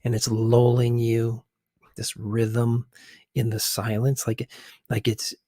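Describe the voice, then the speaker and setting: A man hosting a conversational ASMR commentary in a hushed, husky voice, halting as he searches for words. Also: medium pitch, completely flat delivery, no echo. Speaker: video host.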